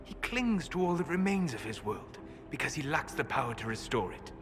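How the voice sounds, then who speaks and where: A man playing a learned, reserved elf advisor in a fantasy game, measured and faintly ironic. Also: mid-range voice, very animated, background noise, close to an everyday voice. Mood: wry, pointed. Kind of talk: fantasy game dialogue acting. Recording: long hall reverb.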